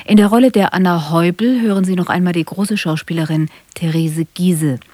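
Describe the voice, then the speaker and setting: A woman reading a formal, scripted radio announcement in a measured manner from a broadcast studio. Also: on the low side, fairly even intonation, a little mellow, no room sound.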